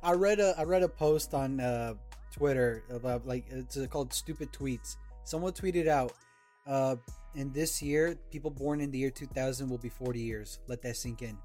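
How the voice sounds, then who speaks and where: A man's voice: medium pitch, normal speed, darkish tone, soft background music. A man in his twenties in a casual group chat, blunt, profane and teasing. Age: 20s.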